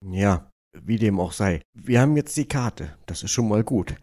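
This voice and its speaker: A man playing a pragmatic cartoon musician leading a quest, matter-of-fact and deliberate. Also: fairly low pitch, animated, dark tone.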